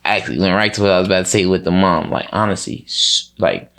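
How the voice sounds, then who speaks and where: A man's voice: low voice, fast, creaky, a bit bright. A man in his twenties with an American accent, chatting casually as a rapper in an interview, with slangy, sweary talk. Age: 20s. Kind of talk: casual chat.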